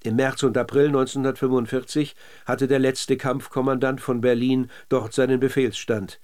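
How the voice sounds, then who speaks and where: A man narrating scripted documentary radio in a measured, factual manner. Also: mid-range voice, level tone, a little mellow.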